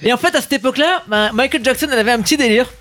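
High-voiced playful radio co-host. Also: male voice, rapid-fire, a bit bright, faint music behind. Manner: playful, laughs a lot. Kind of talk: morning radio show chat, reading out facts. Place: radio studio.

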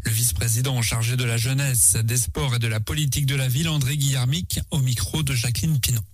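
A man's voice: medium pitch, fairly even intonation, fast talker, husky, no room sound. Casting: male newsreader for regional radio, dry close studio sound, light music bed. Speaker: radio news presenter. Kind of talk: regional radio news reading.

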